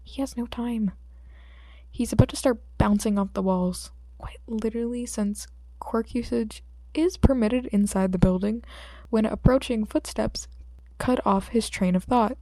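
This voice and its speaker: Female fiction narrator: expressive scripted read, relaxed mellow timbre, very dry close sound. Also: on the high side, unhurried, dry recording. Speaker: audiobook narrator.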